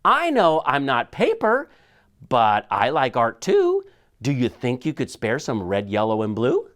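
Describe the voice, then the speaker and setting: Man as earnest little storybook stone, lively pitch. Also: a little slow. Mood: eager, hopeful. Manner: earnest, expressive. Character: small earnest stone longing to bring happiness.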